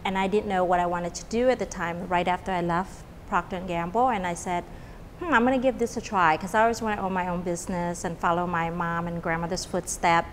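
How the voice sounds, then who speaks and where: A woman's voice: on the low side, steady tone, fairly quick, a little hiss or hum. Woman from Vietnam, middle-aged, restaurant owner; casual, rambling with fillers; long reverb tail. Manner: chatty, many fillers and restarts. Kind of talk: casual interview. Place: restaurant dining room.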